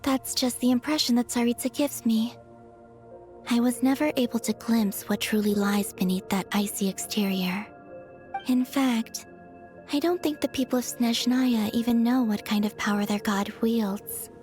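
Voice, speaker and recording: A woman performs a wistful moon goddess for a game cutscene. Her voice sits fairly high, soft and breathy, with measured, sincere phrasing. She sounds grateful and devoted, with music playing softly behind her. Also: lightly acted. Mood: pensive.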